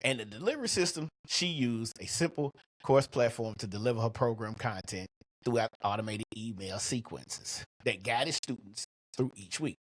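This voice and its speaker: A middle-aged man with a husky voice hosting a motivational business podcast, speaking in a colloquial, emphatic, punchy way on a close microphone. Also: mid-range voice, moderate pace, no room sound, poor audio. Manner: colloquial, emphatic, punchy delivery with rhetorical questions. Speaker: podcast host and coach.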